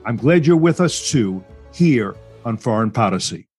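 A man's voice: medium pitch, animated, normal speed, over music. A male radio host interviewing a guest in a folksy, wry manner. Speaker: radio talk show host. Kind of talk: broadcast interview.

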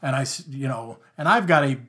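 Middle-aged guy, loud voice, emphatic, tells stories on a podcast, repeats stuff for effect. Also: mid-range voice, lively intonation, a little fast, background noise. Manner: emphatic, storytelling, repeats key words. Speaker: podcast guest, father. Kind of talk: casual podcast conversation.

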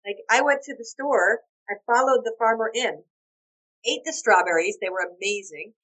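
A hoarse woman in her forties, a guest telling stories animatedly, laughing often and acting out quotes. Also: a little high, moderate pace, fairly bright tone, poor audio. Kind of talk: animated storytelling.

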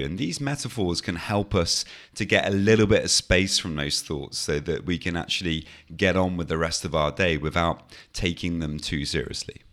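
A man with a deep, low-set voice that sounds croaky and a little hoarse today. He explains things calmly and steadily as a podcast host, often adding "you know" and restarting phrases. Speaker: podcast host and anxiety coach. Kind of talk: solo podcast talk.

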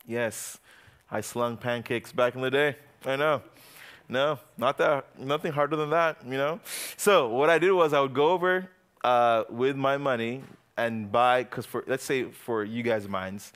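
Young male youth pastor, from Uganda, lively preaching, jokes, loud peaks and hushed asides. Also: medium pitch. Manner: animated and joking, swinging from loud to quiet. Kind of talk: sermon to a youth group.

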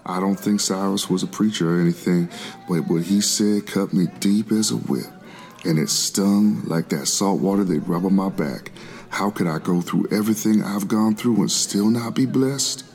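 A man's voice: deep voice, normal speed, vocal fry, soft background music. A man playing a devout, formerly enslaved preacher of the 1700s, telling his spiritual struggle in solemn, reflective first-person narration. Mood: troubled, solemn. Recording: loud musical backing.

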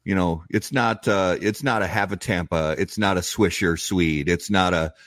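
A man's voice: deep voice, moderate pace, a bit bright, no echo. A man hosting a cigar podcast from a studio, asking questions in an upbeat, friendly way. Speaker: podcast host. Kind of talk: casual podcast interview.

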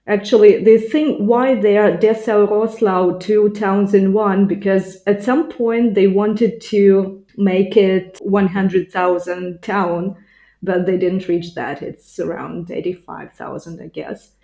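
Mellow Ukrainian-accented woman in an echoey room. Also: medium pitch, steady tone, takes it slowly. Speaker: podcast guest, language teacher. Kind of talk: casual interview answers.

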